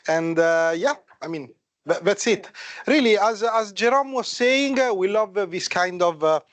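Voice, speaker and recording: Man, Italian accent, high-pitched, dry close sound, halting with fillers. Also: lively intonation, no echo. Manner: hesitant, many "uh" fillers and restarts. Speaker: guest speaker, solution engineer. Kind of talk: casual self-introduction at a meetup. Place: meetup room with a live audience.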